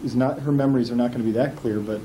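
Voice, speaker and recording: Rambling history teacher, mellow voice. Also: male voice, medium pitch, steady tone, rapid-fire, highs cut off, slight background noise. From Indiana. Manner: rambling, self-correcting, friendly. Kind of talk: classroom interview. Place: school classroom.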